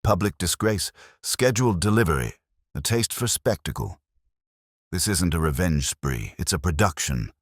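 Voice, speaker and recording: A man voicing a composed, cryptic senior police inspector in an audiobook-style mystery, speaking in a measured, deliberate way. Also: low voice, animated, no room sound, clean studio sound. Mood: musing.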